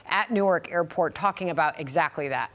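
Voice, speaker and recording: A woman's voice: low voice, brisk, fairly bright tone. Conversational female news anchor. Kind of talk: live news interview, conversational.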